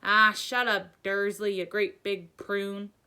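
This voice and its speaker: A woman voicing a big, gruff, jovial giant man for a children's story, blunt and colloquial in manner. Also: mid-range voice, flat delivery, slow, pushed and bright. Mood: gruff, bossy.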